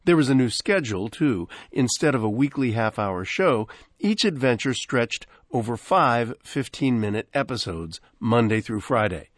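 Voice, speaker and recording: A man's voice: medium pitch, animated, moderate pace, dry recording, clean studio sound. An American man hosting a radio show, reading a scripted introduction to vintage programs. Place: dry broadcast studio.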